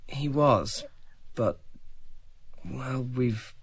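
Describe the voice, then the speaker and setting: An actor playing a proud young farmer in money trouble who speaks hesitantly and avoids admitting problems. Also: male voice, mid-range voice, lively intonation, extremely slow, breathy edge, dry recording, exaggerated voice. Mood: reluctant. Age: young adult. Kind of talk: radio drama acting.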